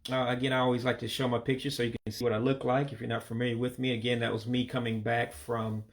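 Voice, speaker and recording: Gravelly American man teaching vocabulary. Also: mid-range voice, little pitch movement. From United States. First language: English. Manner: slows down and repeats words carefully for pronunciation. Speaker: language learner teaching vocabulary. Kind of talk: casual video language lesson.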